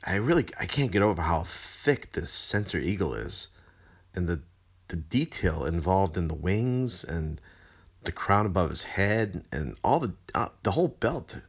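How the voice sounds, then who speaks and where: A man's voice: low voice, big pitch swings, unhurried, husky, highs cut off. A man presenting a video review in an earnest, wordy way, with rather formal phrasing. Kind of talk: scripted review narration with enthusiastic unboxing remarks.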